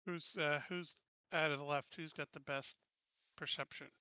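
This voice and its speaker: Man, bright higher voice, casual game player, planning aloud, close dry sound. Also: steady tone, moderate pace, clear, resonant tone, no room sound, lo-fi sound.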